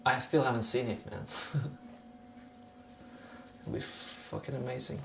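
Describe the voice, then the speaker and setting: Norwegian guy rambling thru an interview, croaky voice, swears a bit, some background noise. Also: mid-range voice, steady tone, measured pace, a bit bright, roomy sound. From Norway. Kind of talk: casual rambling interview talk.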